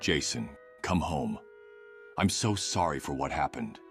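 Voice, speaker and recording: A man playing a brooding, stern vigilante mentor in a superhero comic dub, with terse, grave, gravelly delivery. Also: extremely low pitch, lively intonation, measured pace, a bit bright, faint music behind, heavily acted. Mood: pleading, sorrowful. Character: brooding, stern male vigilante, stubborn guilt-ridden mentor. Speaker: voice actor in a fan comic dub.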